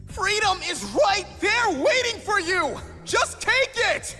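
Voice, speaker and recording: Performer as upbeat young cartoon hero: bright, swooping delivery, long reverb, music behind. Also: extremely high pitch, very animated, slow pace, exaggerated voice. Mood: urging. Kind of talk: cartoon comic dub acting.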